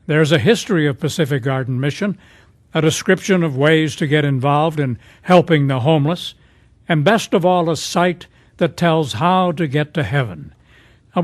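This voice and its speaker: A man announcing for a radio program in a measured, scripted style with clear phrasing. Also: on the high side, dry recording.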